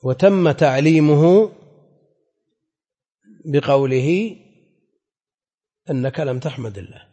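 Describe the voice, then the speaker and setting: A man's voice: fairly high pitch, slow, pauses often, dark tone. A man teaching a religious class as a scholar, explaining in a didactic, conversational way and often putting questions to his students. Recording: echoey hall with long reverb.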